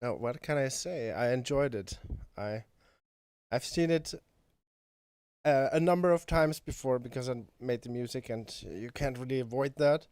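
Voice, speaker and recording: A man's voice: medium pitch, normal speed, darkish tone, clean tone, no echo. Hesitant man chatting, dry sound. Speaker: guest composer. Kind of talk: casual chat.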